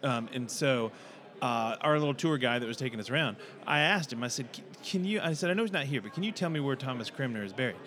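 A man hosting a discussion, searching for words with many pauses and fillers. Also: medium pitch, lively intonation, fairly bright tone. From United States. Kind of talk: casual discussion.

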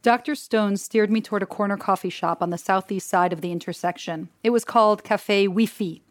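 A woman whose first language is Hebrew, a forensic pathologist and co-author talking onstage and reading aloud, brisk and matter-of-fact. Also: medium pitch, no echo.

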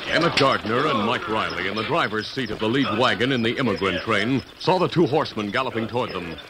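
A man's voice: on the low side, lively intonation, fast. A man narrating a 1950s radio drama from a script in a formal, dramatic storytelling delivery. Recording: noisy vintage radio recording.

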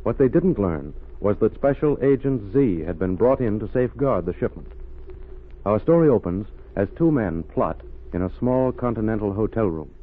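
A man's voice: fairly low pitch, animated, normal speed. A man announcing and narrating a scripted radio drama in 1930s style. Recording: muffled phone-like 1930s radio recording.

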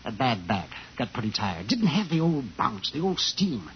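An actor playing a fussy, evasive sales manager in a 1950s radio drama, officious and easily flustered. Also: male voice, medium pitch, big pitch swings, moderate pace, crowd noise. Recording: dull, noisy 1950s radio recording.

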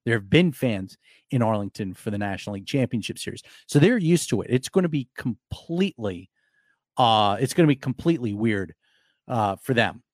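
A man with a hoarse, worn voice hosts a live sports podcast late at night. He talks loosely, circling back and restarting his sentences. The sound is dry and close, with no room around it. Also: medium pitch, expressive, a little fast, dry recording. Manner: rambling, many restarts and fillers. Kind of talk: casual live podcast talk.

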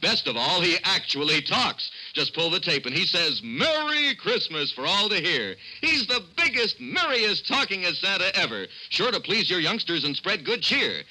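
Radio ad guy, super sharp bright voice, swoops around a lot, pushy mail order pitch. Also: sing-song, moderate pace, few pauses, poor audio. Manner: emphatic, exclamatory sales pitch. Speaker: mail-order advertisement announcer. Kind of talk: hard-sell scripted radio ad.

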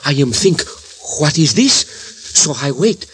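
A wary Mexican townsman tells his tale in halting, Spanish-accented English, a touch higher than most men. The old radio broadcast carries a faint hiss underneath. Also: very animated. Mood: hushed. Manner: broken English, hushed storytelling. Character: Mexican man, a wary nighttime witness. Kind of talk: 1950s radio drama acting.

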